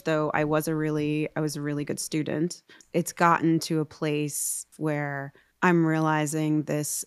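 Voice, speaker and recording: A woman in her thirties, a podcast guest, telling her own story in a reflective, halting way with small laughs. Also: sits low, smooth, dry recording, studio quality. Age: 30s. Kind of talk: candid personal storytelling.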